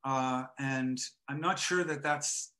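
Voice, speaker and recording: An older man from Montreal, a photographer and artist, talking in a hesitant, reflective way with many pauses and restarts, on a headset over a video call from home. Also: medium pitch, bright, forceful tone. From Montreal, Canada. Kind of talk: casual interview conversation. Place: home room with framed photo, indoors.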